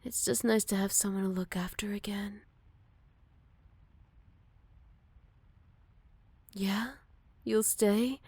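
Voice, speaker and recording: A woman voicing a gentle, motherly older werewolf, wistful and protective, in a soft and caring ASMR roleplay. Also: medium pitch, steady tone, breathy edge, no room sound. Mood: tender, nurturing. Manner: soft, caring, unhurried, slipping into whispers. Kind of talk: ASMR roleplay, intimate one-sided dialogue to listener.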